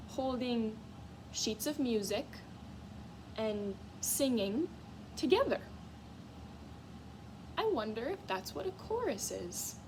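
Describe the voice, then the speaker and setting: A woman teacher giving a read-aloud lesson to young children in an expressive, encouraging way, often putting questions to her listeners. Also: on the high side, noisy background. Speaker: music teacher. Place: school classroom, in a reading corner.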